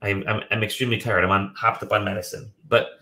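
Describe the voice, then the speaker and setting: A man hosting a casual video game podcast from home, sick and a bit nasal, joking and clearing his throat often. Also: on the low side, level tone, fast, sharp tone. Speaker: podcast host. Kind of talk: casual chat. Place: home room.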